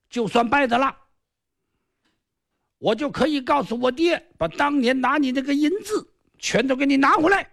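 A man voicing a boastful, cunning male martial artist with sorcery tricks, in a shrill sing-song drawl. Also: gravelly, fairly bright tone, full character voice. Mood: scheming. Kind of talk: traditional storytelling performance.

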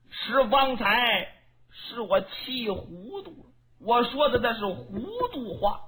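A storyteller voicing a blustering, furious old male warlord who shouts threats. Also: high-pitched, full character voice, poor audio. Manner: bellowing threats, later coaxing and pleading.

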